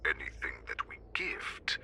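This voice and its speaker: A male actor playing a ruthless wolf-beast warlord in a video game, with a growling voice and slow, menacing, grandiose delivery. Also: whispered, lightly acted. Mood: sinister gloating. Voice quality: gravelly, growling, breathy snarls. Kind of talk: dramatic video game villain acting.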